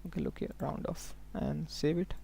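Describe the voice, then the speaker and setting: A man working as a software trainer, giving a step-by-step live tutorial in an instructional way, often prompting listeners to follow along. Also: a little high, steady tone, moderate pace, gravelly, slight background noise. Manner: instructional, often checking in with okay and look here.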